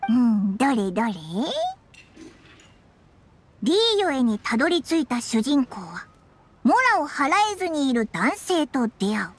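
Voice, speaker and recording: An actress voicing a tiny, excitable fairy companion in a Japanese video game, with a squeaky childlike voice and blunt, boyish, expressive speech. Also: female voice, normal speed, a little hiss or hum. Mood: reading aloud.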